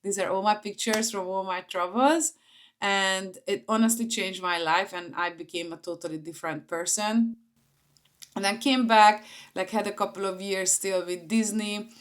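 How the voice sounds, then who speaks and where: A woman's voice: mid-range voice, fairly even intonation, moderate pace. A woman in her forties with a Hungarian accent, talking informally to camera as she shares personal stories. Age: 40s. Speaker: vlogger, entrepreneur.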